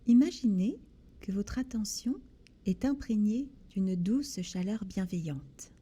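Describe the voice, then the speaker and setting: Very soft, breathy woman guiding relaxation. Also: medium pitch, animated. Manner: soothing, gentle, deliberate pauses between short phrases. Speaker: guided relaxation instructor. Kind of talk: guided body scan meditation.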